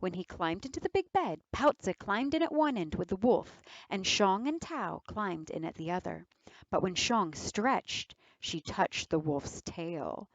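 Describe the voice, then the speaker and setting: Slow, lively woman reading a children's story. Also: on the low side, dry recording, muffled recording. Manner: deliberate, dramatic. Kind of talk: expressive children's read-aloud narration.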